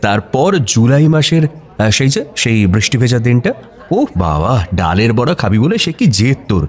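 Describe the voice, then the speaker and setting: An actor playing a playful, pleading young husband in a radio romance, teasing and insistent in a conversational way. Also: male voice, mid-range voice, sing-song, moderate pace. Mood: nostalgic, animated. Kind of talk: Bengali radio romance acting. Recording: music bed under the voice.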